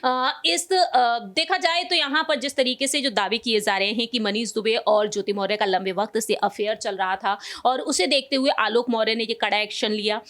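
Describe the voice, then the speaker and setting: A woman's voice: on the high side, moderate pace, hardly any pauses, fairly bright tone. A woman giving news commentary as a co-presenter, analysing at length in a wordy, circling way.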